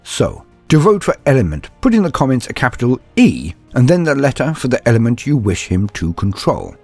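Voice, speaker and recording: A man's voice: mid-range voice, big pitch swings, fairly quick, a little mellow, husky. A man narrating as a storyteller and host, in a scripted, theatrical style with courtly phrasing. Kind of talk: dramatic first-person story narration and scripted channel address. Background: background music.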